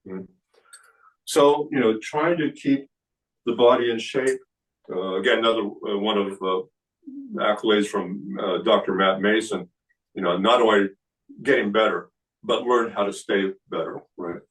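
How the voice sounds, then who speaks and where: A man in his late sixties speaks in a low, unhurried voice, explaining things with plenty of "uh" and "you know". He sounds a little distant from the microphone, as if picked up by a camera across an indoor room. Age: 60s. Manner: relaxed explaining with many fillers. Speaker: kung fu teacher. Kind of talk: seminar talk.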